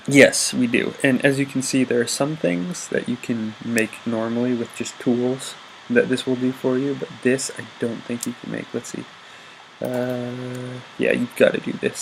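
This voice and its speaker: A man's voice: medium pitch, little pitch movement, measured pace, vocal fry, low-quality recording. A young man with a slightly stuffy, congested voice chats through a video game, upbeat and rambling, stopping to correct himself often. His voice sits in a medium register, recorded on a desk mic with a faint hiss underneath. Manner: rambling, upbeat, lots of ums and self-corrections. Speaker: gaming YouTuber. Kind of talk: casual Let's Play commentary.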